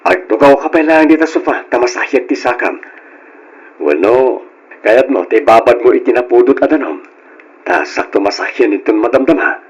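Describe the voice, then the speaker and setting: A man's voice: mid-range voice, expressive, normal speed, dull audio, lightly acted. A male actor playing an affectionate, playful working husband in old-style radio drama, exclaiming with open emotion. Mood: tender. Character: excitable hard-drinking working man, affectionate, playful husband.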